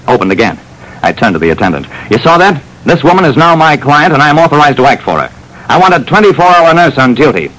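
A brisk hardboiled detective. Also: male voice, medium pitch, a bit bright, rough recording. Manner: brisk, clipped, self-assured. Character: hardboiled male private detective narrator. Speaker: narrator and lead. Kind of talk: 1940s radio acting.

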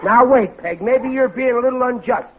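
A man's voice: very high voice, moderate pace, crowd noise, noisy background. An actor playing a sheepish, bumbling middle-aged American working-class husband and father, stammering and blustering in 1940s radio comedy style. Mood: defensive. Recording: muffled, phone-like old radio recording.

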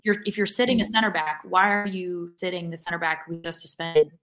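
Low-voiced woman in casual sports talk. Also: a little fast, fairly bright tone. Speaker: podcast co-host, sports fan. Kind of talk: casual soccer fan discussion.

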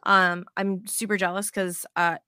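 A woman hosting a casual sports podcast, excitable and swearing freely as she tells animated stories. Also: mid-range voice, a little slow, clean tone, dry recording, clean studio sound. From Las Vegas, United States. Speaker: podcast host.

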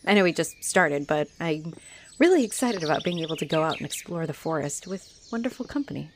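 Low-voiced flirty halfling orc played by a woman. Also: animated, brisk. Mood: warm, earnest. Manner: teasing, chuckling, coy. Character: friendly, flirty, playful female halfling orc adventurer. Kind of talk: roleplay audio addressed to the listener. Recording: noticeable noise behind the voice.

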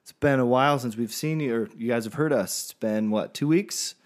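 A man's voice: mid-range voice, fairly quick. A man co-hosting a podcast in a casual chat, speaking in an informal, slangy, enthusiastic way. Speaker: podcast co-host.

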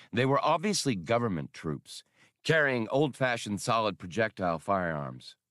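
Animated male audiobook narrator. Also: lowish voice, no echo, clean studio sound. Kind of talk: expressive scripted fiction narration.

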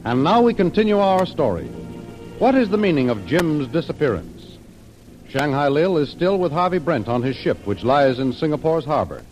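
Higher-pitched American man, vintage radio announcer. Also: sing-song, moderate pace, darkish tone, soft background music, low-quality recording. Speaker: announcer and narrator. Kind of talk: dramatic scripted 1930s radio narration.

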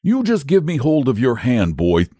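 A man's voice: mid-range voice, wildly swooping pitch, normal speed, mellow, slightly rough, no echo, studio quality, voice pushed up. A man voicing a big, lazy, self-important storybook dragon with jovial, clipped old-fashioned upper-class slang. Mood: blustering, self-righteous.

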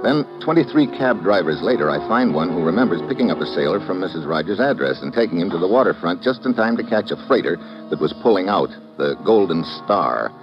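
Deep-voiced hard-boiled detective. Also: male voice, lively intonation, brisk, hardly any pauses. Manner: laconic, matter-of-fact narration in present tense. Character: hard-boiled American male detective narrating. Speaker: private investigator and narrator. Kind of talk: 1950s radio drama acting. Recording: dull old radio recording with music.

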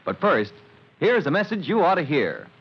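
A man's voice: on the high side, huge pitch swings, moderate pace, husky. An American man announcing a children's radio serial in a dramatic, scripted mid-century style. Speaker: announcer, narrator. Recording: muffled old radio recording.

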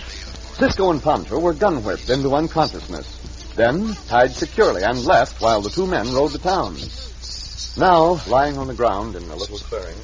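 A man narrating a radio drama dramatically. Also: medium pitch. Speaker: narrator and announcer. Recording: dull old radio recording.

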